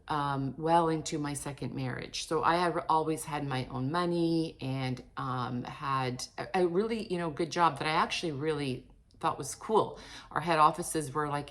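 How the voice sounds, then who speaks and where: A woman in her late fifties with a low-pitched voice speaks directly to her viewers. Her delivery is casual and candid, like a personal vlog. She rambles conversationally, often correcting herself mid-thought. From Portugal, emigrated with parents. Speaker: vlogger and author.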